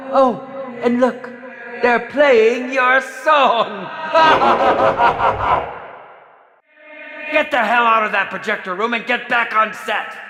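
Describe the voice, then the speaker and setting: Maniacal game villain director, pompous, melodramatic, wildly swooping delivery, slow drawn-out pacing, theatrically exasperated. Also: male voice, very high-pitched, heavily acted. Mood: gleeful menace. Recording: echoey hall reverb with music behind.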